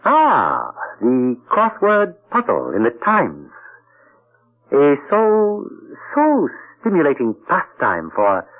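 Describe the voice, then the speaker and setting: Einen höflichen Geheimagenten, alte Radioaufnahme. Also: male voice, fairly high pitch, wildly swooping pitch, takes it slowly, heavily acted. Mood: courteous. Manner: formal, courteous, speaks in proverbs. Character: suave, polite male intelligence agent. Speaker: narrator and lead character. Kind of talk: 1940s radio acting. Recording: tinny old radio broadcast.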